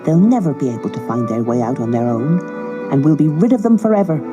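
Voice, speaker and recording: A woman voicing a cruel, scheming stepmother in a storybook, sharp and bossy. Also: sits low, sing-song, fast talker, over music. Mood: cold, scheming. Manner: sharp, bossy, false sweetness.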